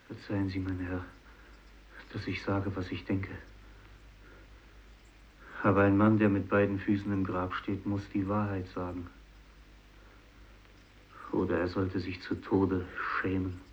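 A man playing a dying, haunted Japanese fisherman in a radio play monologue, deliberate and insistently addressing his listener. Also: low-pitched, level tone, a little hiss or hum. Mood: bitter, brooding. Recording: dull vintage radio recording.

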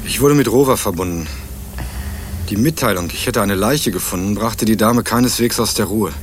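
A man's voice: fairly low pitch, normal speed, a little hiss or hum, lightly acted. A male actor playing a young student sleuth, narrating a crime radio play in a dry, laconic, sardonic manner over music. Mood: pained, laconic. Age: young adult. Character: young male student turned amateur sleuth, wry, hard-boiled narrator.

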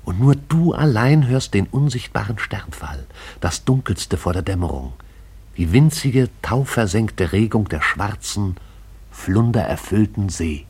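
Deep, husky, hushed male narrator. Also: lively intonation, unhurried. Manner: hushed, incantatory, intense. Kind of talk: poetic scripted radio play narration.

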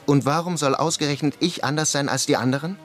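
A man's voice: a little high, fairly even intonation, fast, soft background music, close to an everyday voice. Actor as a terse, suspicious male army officer. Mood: wary, tense. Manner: terse, demanding, skeptical. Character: suspicious, hard-questioning male American army lieutenant. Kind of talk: German radio drama acting.

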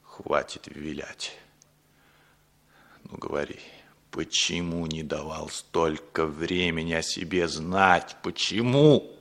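An actor playing a wary younger brother in a 1960s Soviet radio drama, blunt and questioning. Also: male voice, extremely low pitch, slow pace, a bit bright, exaggerated voice. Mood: demanding, suspicious.

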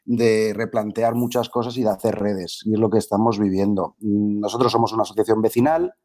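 A man's voice: fairly low pitch, steady tone, brisk, mellow. A man explaining his neighbourhood association's work as a guest in a radio interview.

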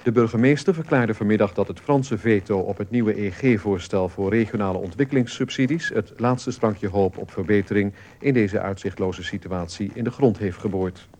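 An actor playing a sober male radio newsreader, reading a scripted bulletin in a formal, measured way. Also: lowish voice, a little mellow, natural voice.

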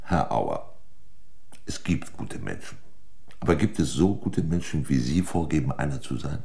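An actor playing a shrewd, sardonic examining magistrate in a crime radio play, questioning in a pointed, condescending way. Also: male voice, a bass voice, sing-song, vocal fry, darkish tone, heavily acted. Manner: pointed, condescending, deliberate interrogation.

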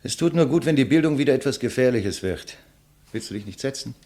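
An actor playing a condemned writer, composed and bitterly ironic, in a measured, eloquent 1950s German radio play style. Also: male voice, mid-range voice, animated, a little mellow, lightly acted. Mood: bitter irony.

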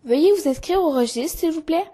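A man's voice: very high-pitched, expressive, brisk, mellow, pitched above the performer's own voice. A man voicing a prim female receptionist, speaking with polite, clipped formality.

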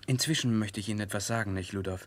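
Man playing frank idealist exile in a 60s radio drama, fairly low voice, very dry sound, formal and direct. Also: fast talker, dry recording, lightly acted. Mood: resolute. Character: principled male political exile, frank, protective, idealistic. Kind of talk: 1960s German radio drama acting.

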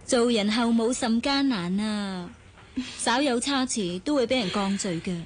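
An actress playing an earnest, proud young office worker and bride-to-be in a Hong Kong Cantonese radio drama. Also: female voice, fairly high pitch, unhurried, slight background noise, natural voice. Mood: resolved.

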